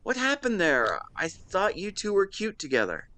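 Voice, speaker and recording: A man's voice: high-pitched, huge pitch swings, normal speed, bright, forceful tone, full character voice. A man in his twenties playing a devoted, protective and secretly lovesick best friend in a conversational audio roleplay, earnest and playful with some hesitation. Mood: flustered, curious. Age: 20s. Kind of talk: intimate one-sided roleplay acting, conversational.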